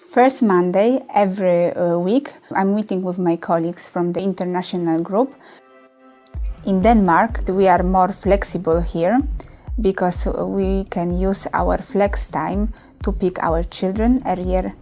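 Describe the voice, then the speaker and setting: A woman's voice: on the low side, a little slow, dark tone. A woman speaking in a loose, unscripted way, with hesitations and non-native phrasing, about her working life in Denmark. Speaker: foreign worker in Denmark. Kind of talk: testimonial interview.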